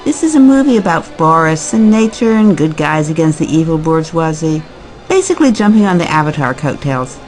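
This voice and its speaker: Woman as brisk, cynical boss, lowish and animated. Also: soft background music, poor audio. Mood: commanding. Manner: breezy, commanding, flippant. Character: brisk, pushy, cynical female film event boss. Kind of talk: audio drama acting.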